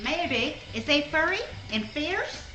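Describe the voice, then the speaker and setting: A woman playing a small bird guide in a hushed, deliberate manner, in a roomy live radio play. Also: sits high, animated, faint music behind, exaggerated voice. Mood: hushed, secretive. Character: quiet, sly little bird guide.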